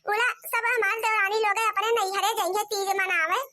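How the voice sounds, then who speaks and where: A woman's voice: very high-pitched, steady tone, fairly quick, bright, forceful tone. A woman voicing a meek, long-suffering village daughter-in-law in an Awadhi accent, plaintive, for a cartoon comedy. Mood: pleading.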